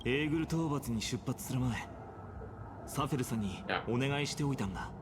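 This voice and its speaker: A man's voice: medium pitch, rapid-fire, over a noisy crowd, close to an everyday voice. A man playing an earnest, composed young fantasy hero in a video game, with a resolute, reassuring manner. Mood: calm, resolute. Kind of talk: Japanese video game voice acting. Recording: game soundtrack music under dialogue.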